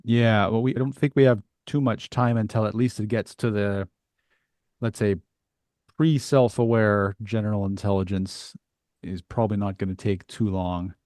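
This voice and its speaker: Male podcast host, low-pitched, dry close sound, conversational and discursive as he works through questions. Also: fairly even intonation, moderate pace, a little mellow, no echo. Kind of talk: conversational interview.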